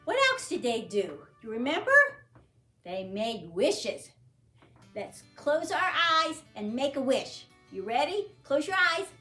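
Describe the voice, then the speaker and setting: Slow, highly animated woman reading to children. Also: soft background music. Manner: sing-song, simple questions to child listeners. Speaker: children's librarian reading storytime. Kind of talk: read-aloud storytime for young children.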